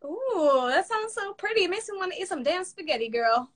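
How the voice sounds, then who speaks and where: A woman hosting a casual interview, encouraging her guest with quick replies. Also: very high voice, big pitch swings. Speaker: host.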